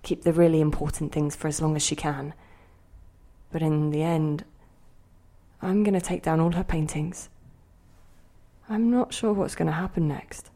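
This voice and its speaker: Low-voiced woman a possessive, wistful inner spirit. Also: steady tone, moderate pace, pauses often. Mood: musing, possessive. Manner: theatrical, musing, by turns sharp and intimate. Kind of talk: audio drama monologue and dialogue.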